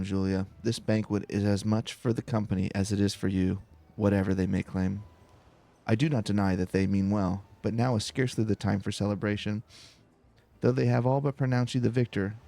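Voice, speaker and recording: Male gaming host, lowish and creaky, unhurried, narrating story text for a cozy playthrough. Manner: relaxed, unhurried. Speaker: let's play host. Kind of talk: calm game playthrough, reading dialogue aloud.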